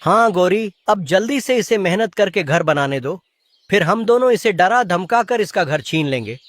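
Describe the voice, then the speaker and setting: An actor plays a lazy male crow from a children's cartoon, a bullying husband. The voice sits at a middling pitch, with a smug and menacing way of talking. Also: lively intonation, moderate pace, no echo, close to an everyday voice. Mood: scheming. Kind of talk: Hindi children's cartoon character voice.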